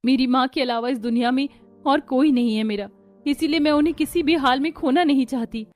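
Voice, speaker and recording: A woman's voice: high voice, fairly quick, a little mellow, natural voice. A woman playing a poor, dutiful young woman in a moral story, speaking politely and earnestly. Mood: earnest, worried. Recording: music under the voice.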